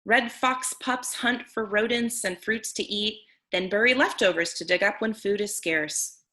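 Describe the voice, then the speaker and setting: A woman reading a picture book aloud as a teacher on a video call, pausing to explain words simply for children. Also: mid-range voice, pushed and bright. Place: online video meeting with screen sharing.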